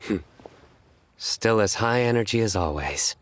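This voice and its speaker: A man voicing a stoic, sincere guard in a video game, speaking in a formal, terse and measured way. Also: fairly low pitch, expressive, a little hiss or hum. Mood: calm, sincere. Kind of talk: video game character voice acting.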